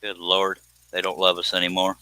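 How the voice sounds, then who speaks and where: A man's voice: deep voice, monotone, moderate pace, sharp tone, a little hiss or hum, low-quality recording. A man in his fifties hosting a casual live stream, chatting in a folksy, joking, teasing way. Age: 50s.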